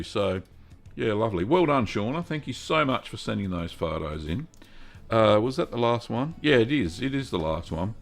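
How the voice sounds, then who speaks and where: A middle-aged man hosting a casual cheese-making livestream, chatty and upbeat, reading out viewer comments and filling pauses with ums. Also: fairly low pitch, fairly bright tone. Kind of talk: casual live-stream chat.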